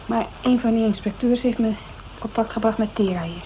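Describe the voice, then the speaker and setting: A woman's voice: a little high, fairly quick, lightly acted. An actress with a Netherlands Dutch accent playing a plain-spoken, familiar woman in a radio drama. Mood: serious, subdued. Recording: muffled, noisy radio recording.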